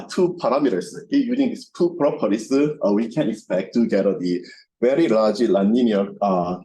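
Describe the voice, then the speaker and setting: A low-pitched male researcher presents at a scientific seminar in a soft, mellow tone. His delivery is halting and repetitive, with non-native English phrasing, and there is some background noise in the room. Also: low-quality recording. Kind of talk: academic lecture with slides. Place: seminar room with projection screen.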